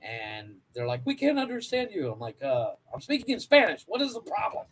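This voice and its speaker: A man cracking jokes as co-host on a casual podcast, his voice fairly high and swinging widely in pitch as he mimics people. A faint hiss of noise sits under his audio. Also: huge pitch swings, brisk, a bit bright, rough recording. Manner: wisecracking, acts out quotes. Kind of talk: casual comedy podcast chat.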